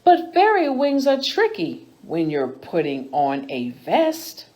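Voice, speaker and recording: A woman reading picture books aloud to children in an expressive storytime style, as a host with a deliberate, animated delivery. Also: lowish voice.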